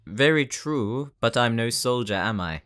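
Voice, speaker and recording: A man playing a courteous, dry-witted elder brother, an ex-soldier turned barkeeper, in a fantasy audio drama, with measured, polite, wry delivery. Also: mid-range voice, animated, a bit bright, clear, resonant tone. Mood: resolute, nostalgic. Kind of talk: anime-style fantasy audio roleplay acting. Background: background music.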